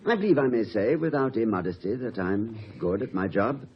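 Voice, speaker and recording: An actor playing an anxious, modest college president in a 1950 radio comedy, stammering and courtly. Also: male voice, lowish voice, expressive, brisk, close to an everyday voice. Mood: sheepish, hesitant. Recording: muffled, noisy old radio recording.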